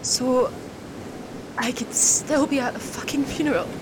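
An actress plays a hurt, exasperated woman confronting her partner. Her voice is high, and she answers in curt, blunt, sweary phrases. A clear surf-like rumble sits behind the naturalistic audio drama performance. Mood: hurt, angry. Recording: rushing surf-like rumble noise.

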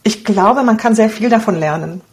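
A woman in her forties from Romania whose first language is Hungarian, a guest blogger and copywriter talking casually in an interview in a lively, cheeky way. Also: medium pitch, normal speed. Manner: lively, cheeky, laughs often.

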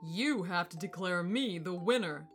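Woman voicing a slow, booming, boastful storybook elephant. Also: animated, fairly bright tone, soft background music. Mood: pompous. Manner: booming, pompous, condescending. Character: huge, smug, boastful male elephant. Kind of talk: children's storybook character voice.

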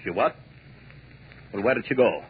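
Man voicing an imperious conductor, sarcastic, lively pitch, tinny radio sound, light hiss. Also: moderate pace, natural voice. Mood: furious. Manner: cold sarcasm, barking demands. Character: jealous, imperious male orchestra conductor. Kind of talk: 1930s radio drama acting. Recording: tinny old radio broadcast.

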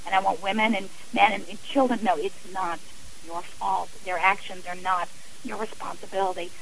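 A woman's voice: mid-range voice, steady tone, moderate pace, gravelly, slight background noise, rough recording. A middle-aged woman speaking earnestly and emphatically as a guest in a radio interview, giving personal testimony in a conversational way. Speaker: interview guest, author and abuse survivor.

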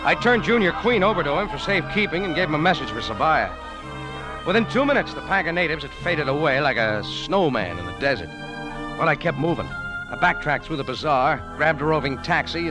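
Casting: tough, wry adventurer-narrator for 1940s radio, animated hardboiled delivery, heavy musical backing. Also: male voice, fairly high pitch, fairly quick, over music, poor audio. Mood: urgent narration. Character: tough, wisecracking adventurer café owner narrating his exploits.

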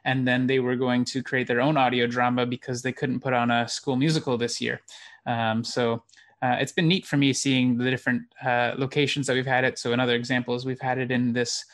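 A man's voice: medium pitch, flat delivery, brisk, a bit bright. A man with a Canadian accent speaking as an interview guest, explaining things somewhat hesitantly. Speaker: interview guest, theatre producer and actor. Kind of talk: interview conversation.

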